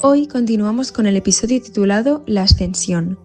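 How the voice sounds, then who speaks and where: Female podcast presenter: mid-pitched, scripted reflective read, faint musical bed. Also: moderate pace, soft background music. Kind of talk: scripted narration, episode introduction.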